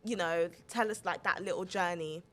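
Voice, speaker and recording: A young woman hosting a podcast, chatting casually about faith in long, animated run-on stretches full of "like". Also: lowish voice, moderate pace. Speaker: podcast host.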